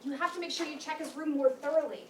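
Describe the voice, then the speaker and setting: Stern female psychiatrist acted by a woman, higher register, authoritative and probing; stage drama, echoey, noisy recording. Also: fairly even intonation, fast, lightly acted. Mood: brisk, authoritative. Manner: firm, questioning, authoritative. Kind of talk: staged play reading. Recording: echoey room, distant mic, clear noise.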